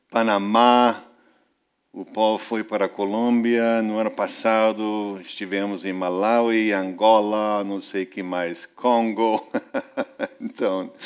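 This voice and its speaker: A man preaching a sermon as a visiting speaker, with a Portuguese accent that is not from Portugal, speaking earnestly and rising in pitch for emphasis. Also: clear, resonant tone. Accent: Brazilian-influenced Portuguese, non-Portuguese. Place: church service.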